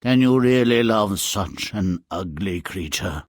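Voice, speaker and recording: A very deep, slow, mournful beast. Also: male voice, animated, no echo, clean studio sound. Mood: pleading. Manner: solemn, theatrical, formal. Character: mournful, menacing male monster, lovesick man-eating beast. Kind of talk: video game fairy-tale cutscene acting.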